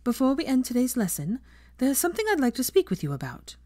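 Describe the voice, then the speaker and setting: A woman voicing a young female teacher who lectures a class in a brisk, earnest, encouraging way with projected questions. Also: sits high, very animated, darkish tone, no echo, clean studio sound, voice pushed up. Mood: brisk, instructive.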